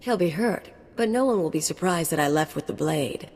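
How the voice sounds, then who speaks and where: A wry, teasing rogue. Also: female voice, deep voice, expressive, normal speed, a little mellow, slight background noise. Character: teasing, mercenary young woman. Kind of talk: video game cut-scene acting. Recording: long reverb.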